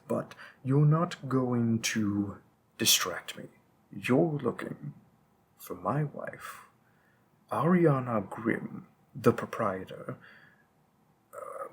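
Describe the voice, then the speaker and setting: A man's voice: medium pitch, fairly bright tone, a little hiss or hum. A man playing a centuries-old vampire, refined, condescending and pedantic, in a roleplay monologue spoken straight to the listener. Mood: condescending, curious. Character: centuries-old male vampire, refined, menacing, protective husband.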